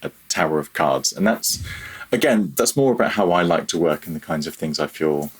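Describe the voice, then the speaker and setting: Very low, husky man, reflective guest. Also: lively intonation. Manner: halting, self-deprecating. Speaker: podcast guest, freelance audio programmer. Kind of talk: reflective casual interview talk.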